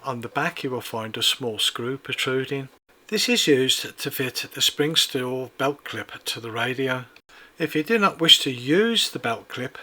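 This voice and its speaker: A man with a bright, clear tone reads a product review from a script. He talks in a measured, precise way, walking through each feature and button step by step at an even middle pitch.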